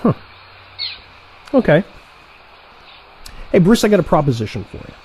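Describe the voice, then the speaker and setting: A man acting an animated, cocky younger assassin. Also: a little high, normal speed, slight background noise. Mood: needling, eager. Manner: animated, wheedling, sarcastic. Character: excitable, cocky younger assassin, male trainee turned rival. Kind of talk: audio play dialogue.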